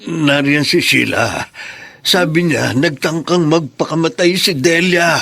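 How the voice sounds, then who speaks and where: An actor playing a gentle, forgiving older Filipino father in a radio drama, tender and earnest. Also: fairly high pitch, unhurried, creaky, exaggerated voice. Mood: tender urging.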